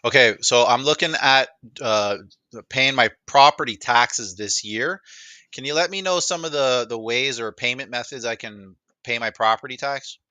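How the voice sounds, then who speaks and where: A man's voice: medium pitch, moderate pace, pushed and bright, no echo. A man giving a casual, unscripted demonstration, often breaking off and repeating words as he goes. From Canada, greater Toronto area. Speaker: presenter of a product demo, acting as a caller.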